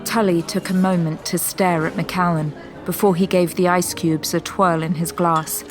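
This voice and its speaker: A woman's voice: on the low side, level tone, moderate pace, music in the background, lightly acted. A woman narrating a scripted audio drama as a poised storyteller.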